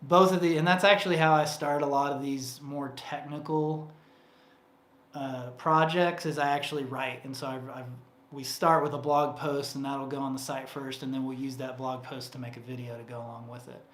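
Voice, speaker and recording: A man's voice: medium pitch, level tone, moderate pace, fairly bright tone. Chatty man in an echoey room. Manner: rambling and chatty, many fillers and restarts. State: voice getting tired. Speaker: co-host of a livestream Q&A. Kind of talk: casual livestream Q&A. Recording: roomy echo.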